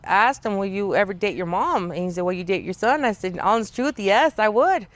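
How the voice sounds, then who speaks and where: A woman speaking in an interview clip, recounting events in a halting, hesitant way. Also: mid-range voice, lively intonation, no echo. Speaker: mother interviewed in a documentary clip. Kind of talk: interview testimony.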